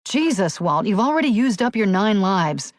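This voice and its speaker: A woman's voice: medium pitch, very animated, normal speed, dry recording, clean studio sound, pitched above the performer's own voice, lightly acted. A woman voicing a tough, protective female police officer who gives commanding orders in an audiobook thriller. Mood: angry, urgent. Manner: urgent, forceful commands. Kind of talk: audiobook character dialogue.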